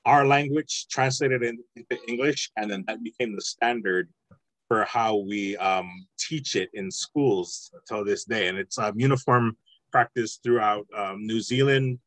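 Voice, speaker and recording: A man teaching an introductory Samoan language lesson online, explaining at a relaxed pace with frequent ums and uhs. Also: lowish voice, unhurried, dry recording, rough recording. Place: home, online video call.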